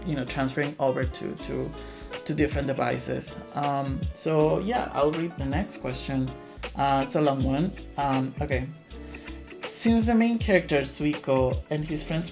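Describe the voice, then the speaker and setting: A man's voice: fairly high pitch, vocal fry. A man hosting a casual live Q&A as a game developer, playful and self-deprecating. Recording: background guitar music. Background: guitar music.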